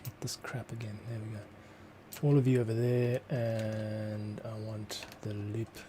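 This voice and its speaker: A man's voice: fairly low pitch, little pitch movement, slow, dark tone, a little hiss or hum. A man giving casual work-along commentary as a composer, muttering to himself in fragments. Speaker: composer, host. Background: music, computer keyboard clicks.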